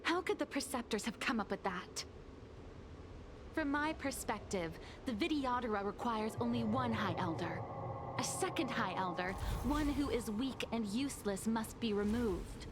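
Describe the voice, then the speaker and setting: A woman's voice: fairly high pitch, expressive, normal speed, lightly acted. A woman voicing a treacherous, coldly scheming maid in a video game, outwardly deferential, with composed, formal speech. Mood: cold, scornful. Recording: noisy game capture with ambience.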